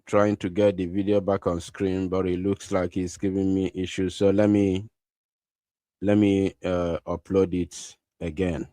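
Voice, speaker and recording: A man's voice: low-pitched, little pitch movement, measured pace, warm tone, dry recording. A man hosting a live online broadcast, talking to listeners in an urgent, insistent way and repeating his phrases.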